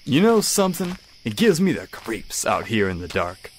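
Man playing a blustering, cowardly detective, animated delivery. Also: fairly low pitch, moderate pace, a little hiss or hum, low-quality recording. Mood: nervous. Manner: cocky bluster giving way to jitters. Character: boastful, cowardly private detective, adult man. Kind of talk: radio adventure acting.

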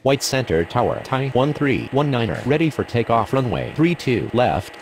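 A man voicing a formal airline radio operator in a simulation game, using measured scripted phraseology. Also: mid-range voice, big pitch swings, creaky, faint music behind. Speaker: pilot and air traffic controller radio voice.

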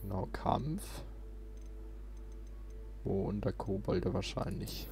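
A man's voice: low voice, expressive, slow pace, music in the background. A man casually commentating as he plays a video game. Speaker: let's player.

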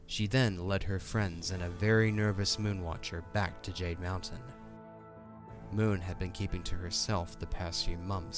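A male narrator reads a scripted fantasy audio drama. His voice is low in pitch. Faint music runs behind the narration. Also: no room sound.

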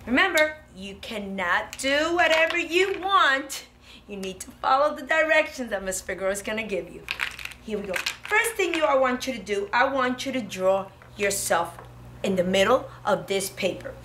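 A woman's voice: on the high side, expressive, moderate pace. A woman in her thirties teaching young children step by step as a preschool teacher, in short, encouraging phrases with frequent check-ins. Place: indoor preschool classroom. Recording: classroom with audible background noise.

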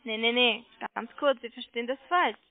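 A woman telemarketer making a sales pitch over the phone, persistent and polite, coaxing with check-back questions. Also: moderate pace, no room sound. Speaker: telemarketing caller selling online trading. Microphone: telephone call. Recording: muffled phone line.

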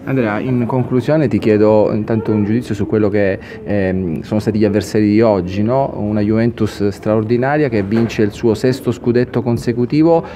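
A male interviewer puts questions after a match. His voice is fairly low. There is clear noise in the background. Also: normal speed, few pauses.

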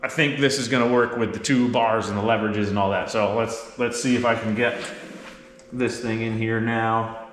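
A man's voice: lowish voice, steady tone, moderate pace, a bit bright. A man in his thirties giving a casual, candid hands-on install walkthrough to camera in a garage workshop. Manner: candid, informal, explanatory. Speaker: mechanic and video host. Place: roomy indoor garage workshop. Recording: echoey garage, clear background noise, clicks.

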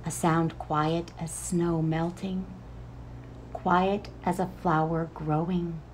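A middle-aged woman reading a children's picture book expressively, with drawn-out, dramatic phrasing. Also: lowish voice, level tone, a little mellow, slight background noise, low-quality recording. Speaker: storyteller. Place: outdoors on a paved porch.

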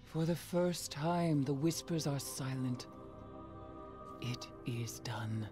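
A man's voice: medium pitch, takes it slowly, close to an everyday voice. A man playing a weary, reverent servant of a queen in a dramatic video game cutscene. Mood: reverent, resigned. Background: music.

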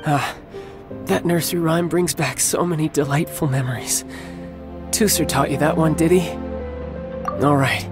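A young man voicing a confident, teasing warrior who is wounded yet calm and protective of his younger brother. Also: on the high side, normal speed, over music, close to an everyday voice. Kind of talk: game voice acting.

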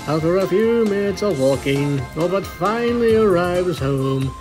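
A man's voice: sits high, lively intonation, unhurried, over music. A man reading scripted story narration as the narrator of a fan fiction tale.

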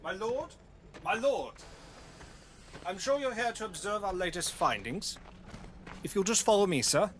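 An actor playing a cautious, deferential Imperial commander in a sci-fi audio drama, formal and placating. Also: male voice, high voice, animated, measured pace, pauses often, fairly bright tone, a little hiss or hum. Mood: eager to please.

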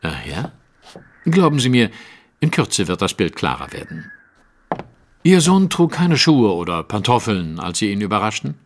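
An actor playing a courteous, self-assured detective with a formal, probing way of speaking, in a German audio drama. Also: male voice, lowish voice, big pitch swings, moderate pace, pauses often, long reverb tail, a little hiss or hum. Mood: confident, testing.

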